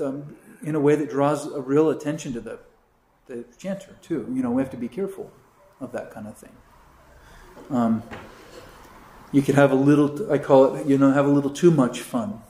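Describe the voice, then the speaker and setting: Chatty priest in an echoey room. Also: male voice, medium pitch, warm tone. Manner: rambling, many fillers and restarts, sings brief chant examples. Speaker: priest teaching a catechism class. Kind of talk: casual classroom teaching. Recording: roomy echo.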